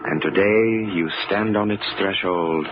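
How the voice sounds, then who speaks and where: A man's voice: low-pitched, music in the background. An actor as an ominous male storyteller, giving solemn, theatrical narration in an old-time radio drama. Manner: portentous, theatrical, deliberate. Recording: dull old radio recording.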